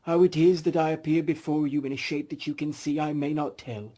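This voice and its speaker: Guy doing a mournful storybook ghost, high anguished voice, slow solemn drawn-out wailing. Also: fairly even intonation, warm tone, close to an everyday voice. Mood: solemn warning. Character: anguished ghost of a dead male businessman, mournful and doom-laden. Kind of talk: dramatic storybook reading.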